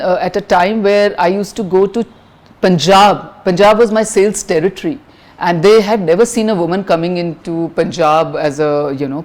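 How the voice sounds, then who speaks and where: A middle-aged woman from India with an Indian English accent and a high-pitched voice, answering interview questions frankly, with lots of fillers and restarts. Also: darkish tone. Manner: frank, many fillers and restarts, mixes in Hindi phrases.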